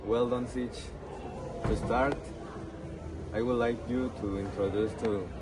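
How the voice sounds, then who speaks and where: A man interviewing someone, asking questions in halting, non-native English phrasing. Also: mid-range voice, fairly even intonation, heavy background noise. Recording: distant mic, busy crowd outdoors.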